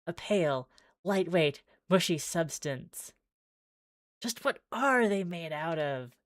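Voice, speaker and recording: A woman's voice: on the low side, expressive, slow pace, dry recording, clean studio sound. A woman streaming a visual novel, reading the text aloud theatrically with wry, exclaiming asides.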